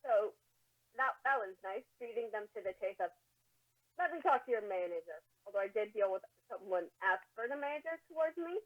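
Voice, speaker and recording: A woman's voice: medium pitch, expressive, brisk, muffled recording. A woman co-hosting a podcast, chatting casually and unscripted, often restarting and repeating words as she talks. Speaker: podcast co-host and amateur fiction writer.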